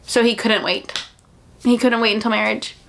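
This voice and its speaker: A young adult woman chatting casually about books, with an animated, gushing delivery and dramatic emphasis. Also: a little high, moderate pace, gravelly. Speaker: book reviewer and vlogger. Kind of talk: casual chatty book recommendation vlog.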